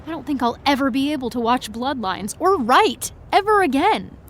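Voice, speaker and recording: A high-voiced, exasperated young woman, sulky and weary in audio drama dialogue. A faint noise of vehicles runs behind her. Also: expressive, normal speed. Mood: weary, bitter. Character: jaded, sulky young woman fan-fiction writer.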